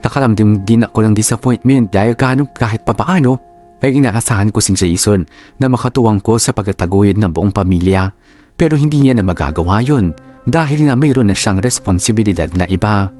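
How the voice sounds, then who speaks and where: A man's voice: lowish voice, a little mellow, lightly acted. A man with a Filipino accent reading scripted, reflective first-person narration for a radio drama. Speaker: story narrator.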